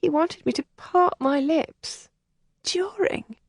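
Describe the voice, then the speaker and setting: A woman narrator voicing a shy, distressed young woman who speaks in a breathy, trembling, often whispered voice. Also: high-pitched, slow pace, no room sound, clean studio sound, pitched above the performer's own voice, full character voice. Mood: whispery. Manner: pleading and anxious. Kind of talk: audiobook character voicing.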